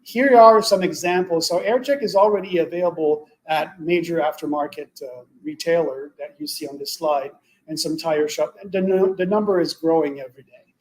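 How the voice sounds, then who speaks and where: A man with a fairly high voice delivers a semi-scripted product pitch as a webinar expert. His delivery is halting, with slightly non-native English phrasing. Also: a little mellow, low-quality recording. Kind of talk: semi-scripted sales presentation.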